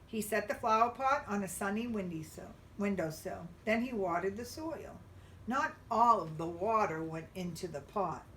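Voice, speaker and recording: A woman reading a picture book aloud to young children, expressively and with drawn-out emphasis. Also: mid-range voice, expressive, fairly bright tone, distant mic, a little hiss or hum, low-quality recording. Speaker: children's storybook reader.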